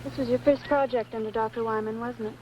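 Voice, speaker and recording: A woman's voice: a little high, steady tone, fast talker, darkish tone, close to an everyday voice. A woman acting an earnest, supportive science team colleague in a 1950s science fiction film. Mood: inquiring. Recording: dull, faintly noisy old film soundtrack.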